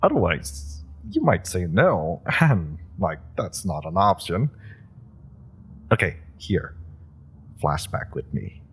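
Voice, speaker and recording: A man's voice: low voice, big pitch swings, slow, husky, heavily acted. A young man voicing an eager, pushy super-powered rookie in an audio roleplay, talking the listener round with persuasive, playful chatter.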